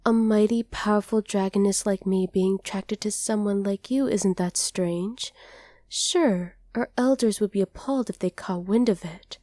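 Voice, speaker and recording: A woman voicing a haughty, possessive dragoness captor in an ASMR roleplay, speaking to the listener in a condescending, deliberate way. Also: mid-range voice, warm tone, dry recording, studio quality, close to an everyday voice. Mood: intimate, proud.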